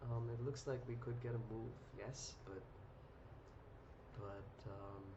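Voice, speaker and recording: A young man hosting a live trading stream, chatting casually with viewers in a relaxed, rambling way with many ums and restarts. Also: lowish voice, takes it slowly. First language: English. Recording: muffled lo-fi audio with clear noise.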